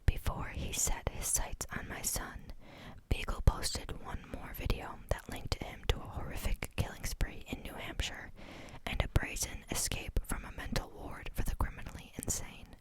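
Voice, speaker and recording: A woman reading a book aloud in a soft, unvoiced whisper, steady but sometimes stumbling on a word and starting it again. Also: moderate pace, few pauses, low-quality recording. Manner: reads steadily, stumbles and restarts on words. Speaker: reader.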